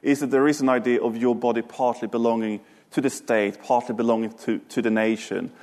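Male speaker from Sweden, middle pitch, measured lecture delivery, thinks aloud on panel answers. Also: flat delivery, darkish tone. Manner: measured, thoughtful, with hesitant restarts when answering. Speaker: author and speaker. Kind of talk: lecture, then panel discussion.

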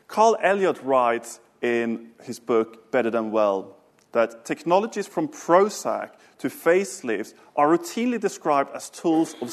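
A man from Sweden giving a talk, then answering questions in a panel discussion with measured, thoughtful phrasing and some hesitant restarts. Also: on the high side. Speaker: author and speaker.

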